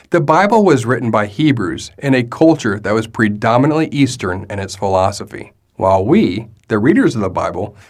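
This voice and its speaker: Man reading steady narration. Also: mid-range voice. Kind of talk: read narration.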